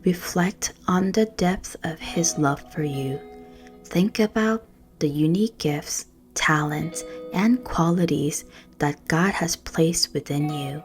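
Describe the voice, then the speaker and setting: Casting: woman meditation guide, low-pitched, gentle and hushed, reading scripted prayer over music. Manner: gentle, soothing, hushed, measured phrasing.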